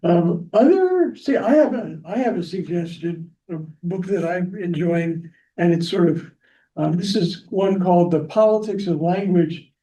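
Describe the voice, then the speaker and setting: A man moderating a library panel, speaking off the cuff in a halting way. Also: high-pitched, mellow. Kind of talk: unscripted remarks at a library panel. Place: echoing library event room. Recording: roomy, muffled, distant microphone.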